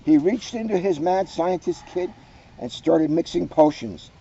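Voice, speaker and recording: A man's voice: fairly high pitch, a little slow, mellow, dull audio, a little hiss or hum. A man reading a storybook aloud with playful, joking asides.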